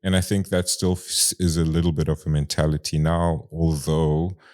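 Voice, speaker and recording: A man's voice: very deep voice, level tone, normal speed, darkish tone. A young Zimbabwean man with a Zimbabwean accent, a guest in a casual conversation, talking in a rambling way with many fillers and restarts.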